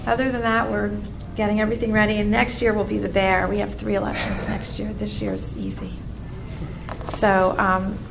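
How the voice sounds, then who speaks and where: Hoarse, gravelly woman giving a report. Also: medium pitch, expressive, normal speed, noisy background. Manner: chatty, many fillers and restarts. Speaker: town registrar giving a report. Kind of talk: spoken report at a public meeting. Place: town meeting room.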